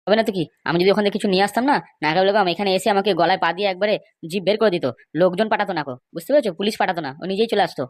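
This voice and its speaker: A man's voice: high voice, no room sound, lightly acted. A man with a West Bengal accent voicing argumentative, indignant men in an amateur comedy cartoon, scolding and insistent. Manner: scolding, insistent, rhetorical questions.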